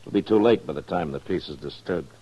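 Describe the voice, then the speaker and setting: An American actor playing a calm, stern frontier marshal in a 1950s radio western, speaking in a measured, terse way. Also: male voice, deep voice, slightly rough. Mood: calm, firm. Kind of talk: 1950s radio drama acting. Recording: dull 1950s radio recording.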